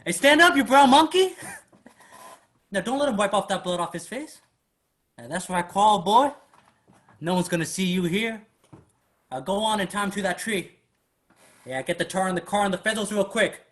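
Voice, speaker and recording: Guy playing a sadistic bigot thug in a staged play, high pitched, taunting, voice swings all over. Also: lively intonation, moderate pace, pauses often, fairly bright tone. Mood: gleeful, menacing. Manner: taunting, chuckling menace. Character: sadistic racist vigilante thug, gleefully cruel. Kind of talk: staged reading acting. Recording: virtual live event recording.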